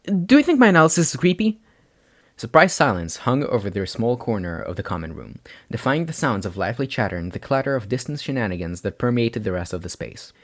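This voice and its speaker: A man's voice: on the low side, expressive, a little fast. A man reading a story aloud as scripted narration, as an amateur audiobook reader.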